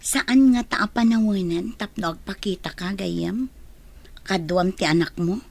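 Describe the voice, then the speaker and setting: A woman's voice: medium pitch, a little slow, gravelly, a little mellow, no echo. A woman playing a practical, frank confidante in a radio drama, giving advice with an Ilocano accent. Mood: inquiring.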